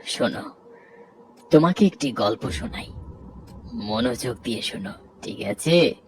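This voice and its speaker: Man voicing a hushed, ominous ghostly passenger. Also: mid-range voice, lively intonation, a little slow, slight background noise, voice pushed down, lightly acted. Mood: ominous, calm. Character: mysterious shawl-wearing male passenger, ghostly, calm, commanding. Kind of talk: cartoon horror story dialogue. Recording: prominent music bed under voice.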